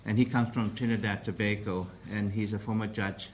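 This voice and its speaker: Hesitant low-voiced man briefing the press. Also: flat delivery, slight background noise, low-quality recording. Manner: hesitant, formal, many pauses and restarts. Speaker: council president briefing the press.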